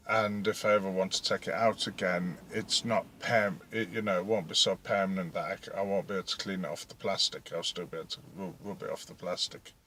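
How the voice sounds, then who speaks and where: A man's voice: deep voice, sharp tone. A British man giving a casual DIY vlog explanation in an informal, hesitant, rambling way that tends to trail off. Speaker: hobbyist video host. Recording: echoey, clearly noisy home-video sound.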